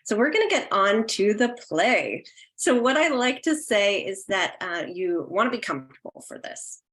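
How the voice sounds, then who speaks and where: A woman's voice: medium pitch, big pitch swings, normal speed, a bit bright. A woman hosting an online event in a casual, instructional way. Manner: informal, instructional. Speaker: host.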